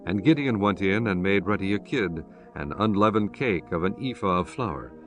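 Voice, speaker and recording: A man narrating dramatized scripture as an audiobook, in a solemn, measured storytelling manner. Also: sits low, lively intonation, fairly bright tone, faint music behind.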